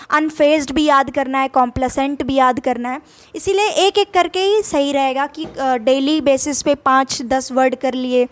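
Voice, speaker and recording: Young Indian woman, high voice, Indian accent, patient English tutor who keeps rephrasing. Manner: explains patiently, repeats meanings in Hindi and English. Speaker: English vocabulary teacher. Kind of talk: casual spoken-English lesson.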